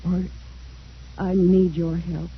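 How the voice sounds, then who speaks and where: A muffled old radio recording with faint noise, where a remorseful middle-aged woman. Her voice is low and weary, hesitant and pleading in radio-drama style. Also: little pitch movement, slow pace. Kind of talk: old-time radio acting.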